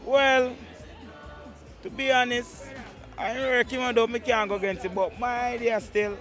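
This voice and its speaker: A man with a Jamaican accent talking casually on a city street, halting and repeating himself, with tag questions. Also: extremely high pitch, little pitch movement, fairly bright tone, faint music behind. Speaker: street interviewee.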